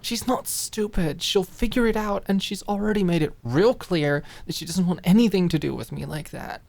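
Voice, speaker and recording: A woman's voice: on the low side, lively intonation, normal speed, creaky, a little mellow, voice pushed up. A woman voicing a lovelorn, defensive young woman with a raw, miserable voice in an audiobook drama. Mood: defensive, upset.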